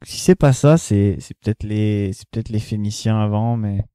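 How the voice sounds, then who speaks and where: Smooth, mellow man thinking aloud. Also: lowish voice, normal speed, no echo, studio quality. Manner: hesitant, thinks aloud with restarts. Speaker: podcast guest answering a quiz. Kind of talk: casual chat.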